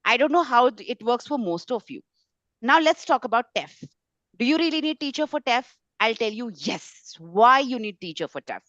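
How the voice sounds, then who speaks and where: A woman's voice: a little high, very animated, normal speed, a bit bright, no room sound. Emphatic woman teaching, dull audio. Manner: emphatic, repeats words and phrases for stress. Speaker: French tutor hosting a webinar. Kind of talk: informal online webinar talk to students. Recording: slightly dull, lo-fi webinar audio.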